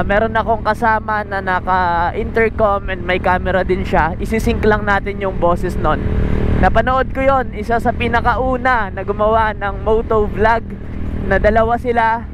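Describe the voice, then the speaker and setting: High-pitched Filipino man, casual vlogger. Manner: informal, switches between Tagalog and English. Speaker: motovlogger, host. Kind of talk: casual vlog talk, product demo. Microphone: helmet-mounted mic into action camera. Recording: noisy outdoor motorbike ride, engine and traffic.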